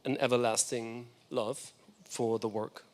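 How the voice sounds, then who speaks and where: A man's voice: medium pitch, little pitch movement, a little slow, darkish tone, slight background noise. Enthusiastic German-native man lecturing. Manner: enthusiastic, reflective storytelling. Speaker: guest lecturer. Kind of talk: architecture lecture.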